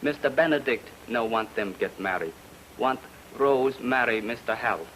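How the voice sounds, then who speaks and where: A man's voice: medium pitch, normal speed, a bit bright, natural voice, rough recording. A man acting a cagey, mercenary houseboy in a radio drama, speaking clipped broken English. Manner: clipped broken English, dropping articles and verb endings. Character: cagey, mercenary male houseboy informant, blunt and eager. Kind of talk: old-time radio character acting. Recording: old radio recording, faint noise.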